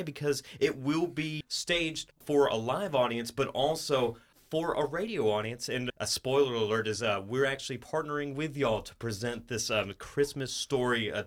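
A man with a medium-pitched voice hosts a radio interview. He is conversational, with measured, wordy questions. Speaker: radio show host.

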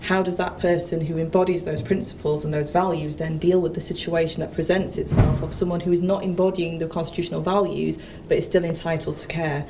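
A woman who is a public member of a health assembly, speaking deliberately in a panel discussion. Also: low-pitched, fairly even intonation, noisy background.

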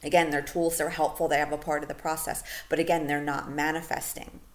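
A woman with a low voice hosts her own podcast alone. She talks in a loose, chatty way with plenty of fillers. Her voice is going a little hoarse as she is losing it. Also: little pitch movement, fairly quick. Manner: casual, chatty, lots of fillers like "you know" and "like". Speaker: podcast host. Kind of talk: solo podcast monologue.

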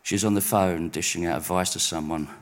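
A man reading his overheard-conversation stories at a live spoken-word night in a pub, wry and deadpan. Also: sits low, a little fast. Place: pub venue stage.

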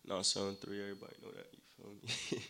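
A man's voice: lowish voice, flat delivery, fast talker, creaky, a crowd in the background. A young man from Nigeria, a rapper guest talking casually and cockily in an interview, full of slang. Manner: cocky, slangy, laughs easily.

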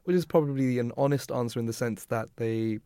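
A man's voice: medium pitch, fairly quick, mellow, clear, resonant tone, dry recording. A man co-hosting a radio show, reading poll results in a casual way, repeating words as he goes. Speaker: radio co-host. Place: radio studio.

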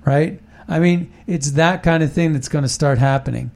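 A man's voice: on the high side, moderate pace, creaky, darkish tone. A man hosting a casual political talk show, rambling and emphatic, often restarting his sentences.

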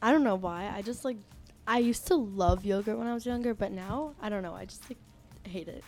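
A woman's voice: medium pitch, brisk, darkish tone, faint music behind. Hesitant girl camper. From New Jersey. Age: child. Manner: hesitant, lots of "like" and "um". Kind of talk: casual chat.

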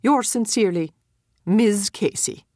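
Female schoolteacher played by an actress, polite and formal audiobook letter, medium pitch, very dry recording. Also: darkish tone, no room sound, lightly acted. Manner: formal, measured wording. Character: formal, courteous female schoolteacher writing to parents.

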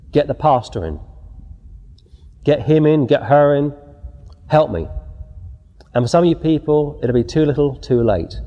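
A man's voice: a little high, warm tone, big-room sound, lo-fi sound. A man preaching a sermon outdoors, reading scripture and pressing his warnings with emphasis. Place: outdoors before scenery.

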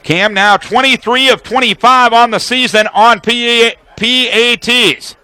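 A man's voice: extremely high pitch, fairly even intonation, a little slow, sharp tone, dry recording. An American man calling live football play-by-play for radio, describing formations and action in a detailed running commentary.

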